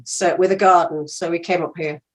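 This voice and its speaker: A woman's voice: fairly low pitch, fairly even intonation, fairly quick. An older woman chatting casually as an interview guest, with a talkative, wry manner. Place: bedroom at home, on a video call.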